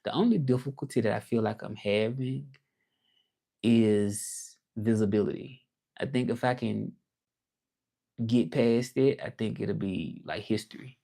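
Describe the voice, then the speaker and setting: A man's voice: on the low side, unhurried, creaky, dark tone. A man from Memphis with a Southern accent, talking casually and reflectively on a livestream, rambling with many fillers. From Memphis, Tennessee. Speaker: livestream host.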